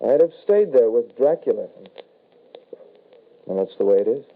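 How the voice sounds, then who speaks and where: Tinny vintage radio, calmly menacing undead son played by a man. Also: mid-range voice, moderate pace, close to an everyday voice. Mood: apologetic menace. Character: undead shapeshifting son, calmly menacing vampire in wolf form. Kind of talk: old-time radio horror acting. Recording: tinny, band-limited vintage radio broadcast.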